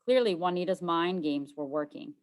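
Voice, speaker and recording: A woman's voice: lowish voice, lively intonation, moderate pace. A woman reading a children's storybook aloud as scripted narration, in the role of a teacher.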